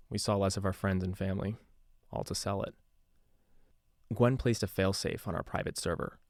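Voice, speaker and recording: A low-pitched, embittered radio DJ played by a male actor records a confessional account for a podcast drama. He talks conversationally, weary and sardonic, in a very dry, close sound. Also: creaky, darkish tone, no echo. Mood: sober, guarded. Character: male radio DJ turned secretive investigator, embittered and haunted. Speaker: radio DJ narrating a taped case file.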